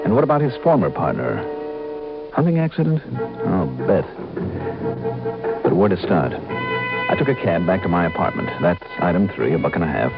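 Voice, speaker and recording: An actor playing a brisk, shrewd insurance investigator in an old American radio drama, narrating his own case in a clipped, wry way. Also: low-pitched, sing-song. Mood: wry, musing. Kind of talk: mid-century American radio detective acting, first-person narration. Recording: muffled vintage radio recording, organ music.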